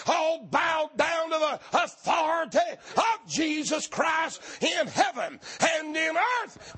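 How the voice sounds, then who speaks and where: A man's voice: very high-pitched, a bit bright. A man preaching a fiery sermon, hollering and stretching out his emphatic words. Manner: hollering, emphatic, drawn-out stresses.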